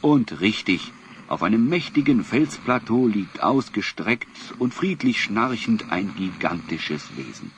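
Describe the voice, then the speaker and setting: A man narrating a children's audio drama in measured, literary scripted storytelling. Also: lowish voice, very animated, a little hiss or hum.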